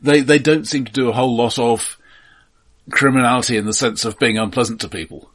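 A man co-hosting a film podcast in casual discussion, speaking in a halting way with dry asides. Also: mid-range voice, fairly bright tone.

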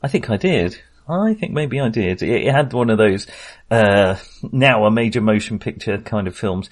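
A man's voice: fairly low pitch, lively intonation, normal speed. Man, middle-aged, podcast host, casual film chat, jokey, self-deprecating.

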